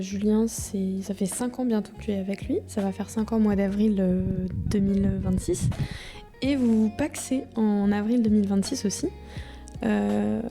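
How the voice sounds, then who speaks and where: A woman's voice: mid-range voice, dark tone. A woman, talking informally and hesitantly in a casual video diary, as if to her future self. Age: 20s. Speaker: vlogger. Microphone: microphone in front of a camera. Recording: music behind the voice. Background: music.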